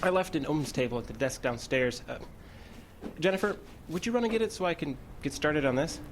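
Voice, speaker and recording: An actor playing a scheming, nervous young ghost hunter in a comic radio drama, with a hurried, evasive manner. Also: male voice, on the high side, expressive, slight background noise. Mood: businesslike.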